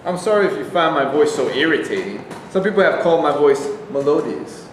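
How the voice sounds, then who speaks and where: A man's voice: on the high side, expressive, a little fast, lightly acted. Man as a defensive fellow, heavily echoing hall. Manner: defensive, argumentative. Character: defensive, contrary man who argues back mildly. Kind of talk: staged reading of a play. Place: indoor stage reading, live room. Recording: very echoey hall, faint background noise.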